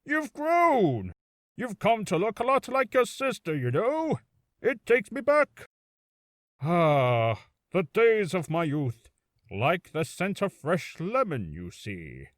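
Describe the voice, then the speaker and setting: A man's voice: sits high, wildly swooping pitch, slow pace, no echo, studio quality, heavily acted. A man voicing a pompous, blustering, nostalgic older lawyer in a video game. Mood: wistful. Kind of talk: video game character acting.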